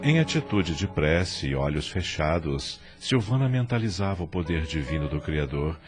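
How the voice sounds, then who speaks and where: A man's voice: low-pitched, sing-song, normal speed, loud music behind the voice. A man narrating an audio drama in a scripted, literary storytelling style.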